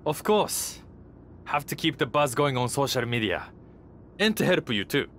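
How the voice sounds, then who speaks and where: A man's voice: medium pitch, sing-song, normal speed, fairly bright tone, slight background noise. A man playing a cocky, vain young wrestler in a video game cutscene, smug and self-absorbed. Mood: flippant. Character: cocky, vain, social-media-obsessed young male wrestler.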